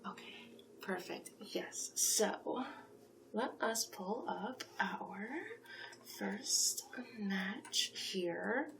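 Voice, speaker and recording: A woman playing a flattering, gossipy sphinx matchmaker in a soft-spoken, whispery ASMR roleplay. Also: mid-range voice, extremely slow. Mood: flattering, welcoming. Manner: coaxing, flattering, chatty, whispery in places.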